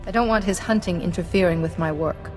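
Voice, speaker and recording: A woman playing a self-possessed young Jedi apprentice in a video game, speaking in a measured, firm way. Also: fairly low pitch, natural voice. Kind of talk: video game dialogue acting. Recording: echoey hall, music behind.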